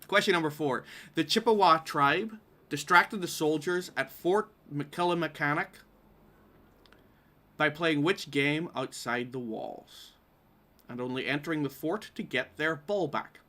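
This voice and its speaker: A man's voice: fairly high pitch, a little slow, pushed and bright. A man with a Derry, Northern Irish accent hosting a live-streamed quiz, reading questions aloud in an informal way with wry asides. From Derry, Northern Ireland. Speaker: quiz host.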